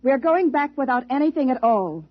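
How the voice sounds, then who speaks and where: A woman's voice: high-pitched, lively intonation, moderate pace, dry recording. An actress playing an authoritative female commander of a space expedition in a 1950s radio drama. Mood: commanding, urgent. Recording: muffled vintage 1950s radio recording.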